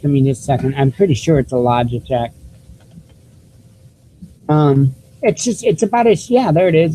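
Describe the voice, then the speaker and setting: A man with a squeaky voice hosting his own YouTube news channel, talking casually to viewers through a cheap desktop microphone with many restarts and fillers. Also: warm tone, a little hiss or hum, poor audio. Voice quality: squeaky voice, self-described. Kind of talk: casual vlog talk to viewers.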